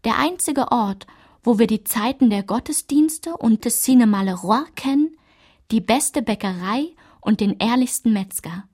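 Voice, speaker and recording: A woman performing a narrator who recalls her small-town French childhood in a literary radio play, in a measured, enumerating delivery. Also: a little high, animated, darkish tone. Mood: measured, reflective.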